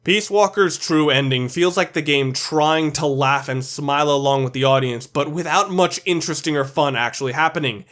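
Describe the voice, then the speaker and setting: A man's voice: fairly high pitch, normal speed, hardly any pauses, a bit bright. A man narrating a countdown video as its reviewer, delivering opinionated scripted commentary in a blunt, sweary, emphatic way.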